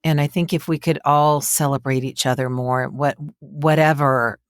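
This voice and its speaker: A middle-aged woman hosts a casual interview in a distinctly low voice. She is effusive and encouraging with her guest. The sound is dry and close, free of room echo. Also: steady tone, normal speed. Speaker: podcast host.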